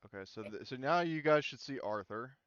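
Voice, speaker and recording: A man's voice: medium pitch, animated, brisk, fairly bright tone, smooth, no room sound, highs cut off. A man casually talking a group through a tabletop game as game master, explanatory and given to casual swearing.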